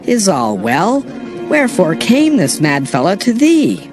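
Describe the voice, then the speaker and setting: Wary male servants, voiced by a man, high and slow, loud music bed, audio-bible drama. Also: huge pitch swings, heavily acted.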